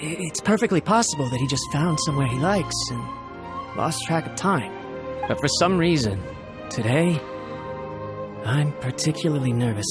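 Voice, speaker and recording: An actor playing a nervous, loyal young retainer in a video game, polite, apologetic and hesitant. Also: male voice, fairly high pitch, lively intonation, moderate pace, long pauses, natural voice. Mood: flustered, worried. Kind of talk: video game character acting. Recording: music playing behind.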